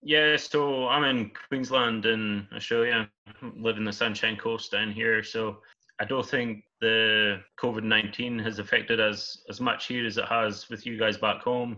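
A Scottish man from Scotland, a guest chatting in a relaxed, rambling, easygoing way over a dull remote call. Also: lowish voice. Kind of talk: casual interview chat.

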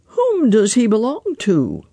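A storybook reader voicing a wise old male frog who answers calmly and mildly, with gentle teasing questions. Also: medium pitch, takes it slowly, creaky, breathy edge, no room sound, pitched above the performer's own voice, heavily acted. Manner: calm, mild, teasing questions.

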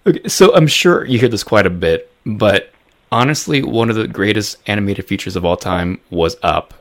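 Male host, slightly low voice, enthusiastic and encouraging interviewer. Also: animated, moderate pace. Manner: enthusiastic, encouraging with short backchannels. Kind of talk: casual interview.

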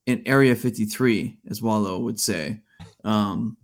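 A man's voice: fairly low pitch, unhurried. A man in his early forties, a podcast co-host, rambling in casual chat with many fillers and restarts. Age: 40s.

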